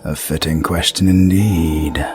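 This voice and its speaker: A man playing a calm, cryptic otherworldly entity in an audio roleplay, speaking formally and solemnly in a deep, gravelly voice. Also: slow. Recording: music behind the voice.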